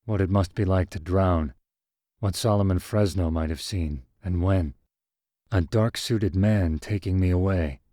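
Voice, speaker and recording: Low-voiced man narrating a story, dry close mic. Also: no echo. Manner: measured, even storytelling. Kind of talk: scripted first-person story narration.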